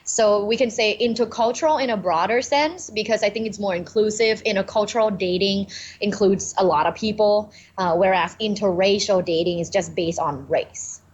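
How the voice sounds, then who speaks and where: A woman's voice: mid-range voice, moderate pace, muffled recording. A woman from Thailand, a professor guest, cheerfully explaining ideas in an interview. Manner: cheerful, laughs easily, explains clearly.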